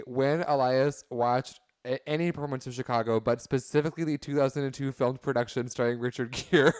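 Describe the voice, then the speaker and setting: A man with a smooth, clean voice and a dry, close sound. He talks like a podcast panelist making a mock-serious case, casual and theatrical. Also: medium pitch, no room sound. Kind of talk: casual comedic podcast chat.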